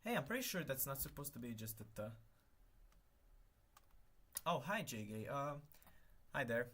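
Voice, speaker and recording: A man's voice: mid-range voice, sing-song, normal speed, sharp tone, slight background noise. Rambling young man, native Hungarian. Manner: rambling, self-correcting, many fillers. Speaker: livestreamer. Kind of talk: casual live stream chat while working.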